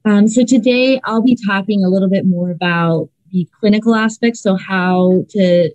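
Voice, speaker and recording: A woman's voice: medium pitch, mellow, dry recording, poor audio. A woman from Ecuador, a clinical psychologist, presenting casually in an online seminar with many filler sounds. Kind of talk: academic online seminar talk. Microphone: video call.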